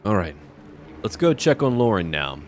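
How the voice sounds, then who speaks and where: A man hosting a roleplay gameplay video, recapping and musing aloud in a measured way as he plays. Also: fairly low pitch, very animated, noisy background. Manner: measured, musing, talks to himself. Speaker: let's play host. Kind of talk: narrated roleplay gameplay commentary.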